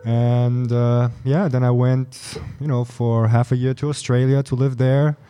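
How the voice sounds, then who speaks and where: A man in his thirties, originally from Poland and raised in Germany, talks casually as a podcast guest. His voice is warm and mellow, with a middling pitch. He rambles through anecdotes, stringing them together with "you know" and "like". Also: little pitch movement, unhurried, slight background noise. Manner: chatty, storytelling, many fillers like "you know" and "like". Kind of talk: casual conversational interview.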